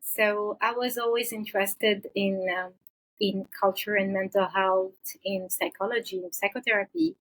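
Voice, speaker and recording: A woman's voice: medium pitch, fairly even intonation, a bit bright, slightly rough, low-quality recording. Hesitant middle-aged woman from Senegal. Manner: thoughtful, many pauses and hesitations. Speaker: psychologist guest. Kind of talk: interview answers.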